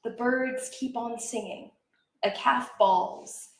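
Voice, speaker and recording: A woman reciting poetry as a dramatic reader, with measured, expressive and deliberate phrasing. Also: fairly high pitch. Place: indoor library event room. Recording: echoey room, live reading.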